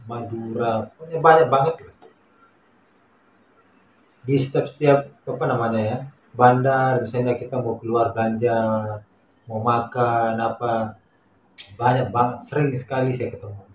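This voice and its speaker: Male vlogger, Indonesian accent, mid-pitched voice, emphatic casual chat, echoey room with faint noise. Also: a little mellow. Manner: emphatic, repetitive, colloquial. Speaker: vlogger, Indonesian migrant worker in Malaysia.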